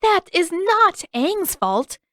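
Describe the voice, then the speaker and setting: A woman's voice: very high-pitched, big pitch swings, slow, fairly bright tone, dry recording, studio quality, pitched above the performer's own voice, full character voice. A woman voicing a stubborn, teasing teenage girl who bickers sarcastically in an audiobook story. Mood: prodding.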